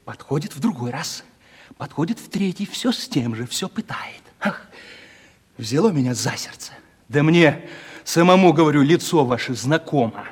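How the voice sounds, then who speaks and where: Husky, lovelorn young merchant's son. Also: male voice, fairly high pitch, moderate pace. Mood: excited, boastful. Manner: folksy provincial merchant speech, animated storytelling. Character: lovelorn young merchant's son, browbeaten, gloomy yet boastful. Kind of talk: 1970s Russian radio play stage acting. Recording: reverberant studio radio play recording.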